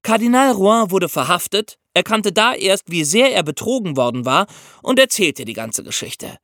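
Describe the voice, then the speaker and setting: A man hosting a podcast, telling history in a conversational, expressive storytelling style for young listeners. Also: high-pitched, normal speed, dry recording, studio quality. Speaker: podcast host.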